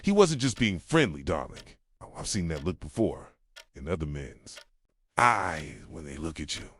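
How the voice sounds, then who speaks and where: Very deep, soft-voiced jealous boyfriend acted by a man. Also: big pitch swings, moderate pace, no room sound, full character voice. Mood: tender, jealous. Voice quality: soft, low, slipping into whispers. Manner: tender, brooding, confessional. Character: jealous, possessive, obsessively devoted yandere boyfriend. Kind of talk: intimate boyfriend roleplay ASMR.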